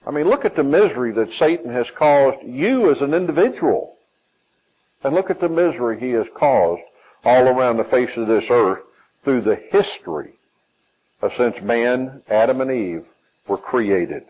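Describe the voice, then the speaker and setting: A man with a Southern US accent preaching a sermon, emphatic, with his pitch climbing and falling and some phrases drawn out. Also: expressive, normal speed, breathy edge, dull audio.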